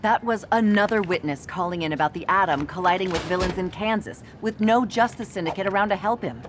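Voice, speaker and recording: A woman's voice: on the low side, brisk, over music, close to an everyday voice. A woman acting a confident, dryly witty investigative reporter in a video game cutscene. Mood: brisk, wry. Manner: confident, wry.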